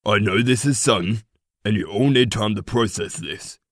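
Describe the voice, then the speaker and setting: A man acts out a determined, troubled leader of a pack in a fantasy audio drama. His voice is on the low side and recorded dry and close, with formal, earnest, commanding phrasing. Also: moderate pace, a bit bright, no room sound. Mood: resolute.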